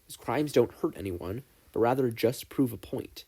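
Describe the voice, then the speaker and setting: A man voicing a stern but proud teacher in a fan fiction story, lecturing in a measured, stoic way. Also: mid-range voice, mellow, husky. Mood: stony, firm.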